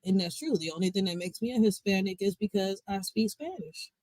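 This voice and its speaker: An American vlogger talks straight at the audience in a preachy, urging tone. The sound is dry and close, with no room around it, and the pitch sits in a middle range. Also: lively intonation, fairly quick, a little mellow, no room sound. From United States. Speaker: vlogger commenting on a clip. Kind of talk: casual commentary to viewers.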